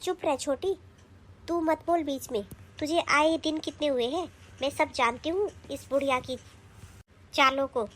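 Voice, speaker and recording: A woman acting a sharp-tongued, defiant elder daughter-in-law in a family skit. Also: high-pitched, unhurried. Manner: snapping, defiant.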